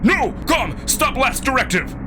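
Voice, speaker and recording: A man's voice: very high-pitched, very animated, measured pace, music in the background, voice pushed up, exaggerated voice. A man voicing a commanding, hot-tempered alien wolf man in a dramatic visual novel, snarling out urgent orders. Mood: panicked, commanding.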